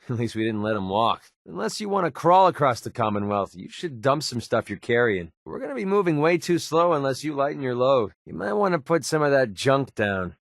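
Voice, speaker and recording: An actor playing a wry, cocky young mercenary in a video game, with a sardonic, casual, colloquial delivery. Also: male voice, mid-range voice, no echo, clean studio sound. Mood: dry, impatient. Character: wry young male mercenary, cocky, wary, protective father. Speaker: video game companion character. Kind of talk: video game voice acting, casual banter lines.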